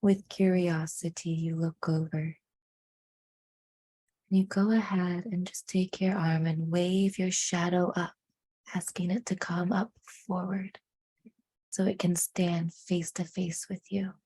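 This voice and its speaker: A woman leading a guided meditation in a hushed, soothing manner, with deliberate phrasing and frequent pauses. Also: on the low side, level tone, breathy edge.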